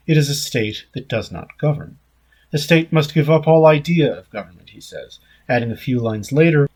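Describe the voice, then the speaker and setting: A man reading a written essay aloud in a measured, scripted narration style. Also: medium pitch, husky. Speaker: essay reader.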